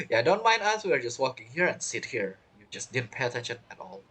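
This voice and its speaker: A man's voice: mid-range voice, sing-song, normal speed, a bit bright, breathy edge. A man giving casual gameplay commentary as a streamer, rambling and playful, with non-native phrasing. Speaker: game streamer.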